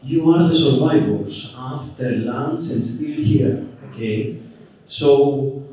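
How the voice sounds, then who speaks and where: A man with a Greek accent giving a relaxed, conversational conference keynote, dotted with "okay" and "uh". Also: medium pitch, slow pace. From Greece. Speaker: keynote speaker. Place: conference hall. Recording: roomy, distant, dull hall recording.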